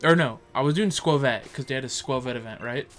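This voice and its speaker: A man's voice: medium pitch, fast talker, fairly bright tone. Young guy cohosting a podcast, rambly and crude, keeps correcting himself, bit of music behind. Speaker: podcast co-host. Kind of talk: casual chat.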